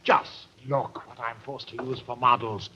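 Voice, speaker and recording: A man's voice: medium pitch, flat delivery, measured pace, bright, forceful tone, slight background noise. An actor playing a pompous, fretful older male painter, querulous and declamatory, in 1940s radio style. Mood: exasperated. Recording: dull, muffled old radio recording.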